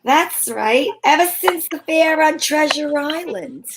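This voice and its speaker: A woman's voice: high-pitched, lively intonation, slow, background noise, exaggerated voice. A woman playing a feisty, wry heroine in an old-time radio show, with sassy, theatrical delivery. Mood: playful. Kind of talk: hobby old-time radio acting with first-person narration.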